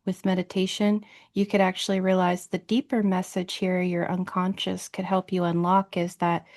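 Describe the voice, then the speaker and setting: A woman's voice: on the low side, level tone, normal speed, a little mellow. A woman hosting a podcast interview, explaining her ideas in a chatty way and often checking in with "right?". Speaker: podcast host. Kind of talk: conversational interview.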